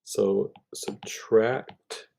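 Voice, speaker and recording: A man's voice: fairly low pitch, steady tone, very slow, vocal fry, warm tone. A man tutoring math, talking through a problem step by step in an informal way, thinking aloud with hesitations and self-corrections.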